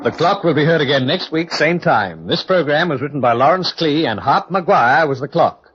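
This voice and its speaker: A man's voice: on the high side, animated, normal speed, noisy background. A man announcing scripted closing credits for an old-time radio programme. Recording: muffled old radio recording.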